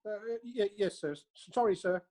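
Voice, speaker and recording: Meek defendant in comic courtroom audio play, female actor, medium pitch, anxious and respectful. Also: animated, normal speed, a little mellow, close to an everyday voice. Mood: nervous.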